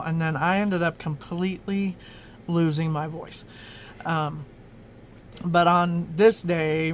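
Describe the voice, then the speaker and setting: A woman's voice: low voice, a little hiss or hum. A woman from Oklahoma chatting casually as she flips through her journal, rambling with lots of ums and restarts. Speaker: journaling vlogger.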